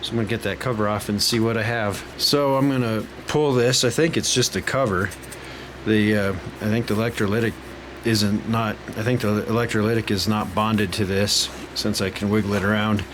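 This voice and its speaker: A man's voice: on the low side, fairly even intonation, normal speed. Man talking through a repair, noisy background. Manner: thinking aloud while working. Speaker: hobbyist radio repairer. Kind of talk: casual hands-on repair narration.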